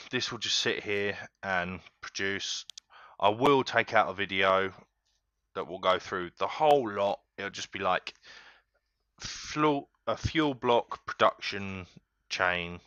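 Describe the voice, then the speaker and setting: A deep-voiced man talks viewers through a game step by step, relaxed and chatty. His voice is bright and smooth, recorded dry on a slightly dull home mic. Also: takes it slowly, no echo. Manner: relaxed, chatty, keeps addressing viewers as guys. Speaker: gaming tutorial host. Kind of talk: casual step-by-step game tutorial.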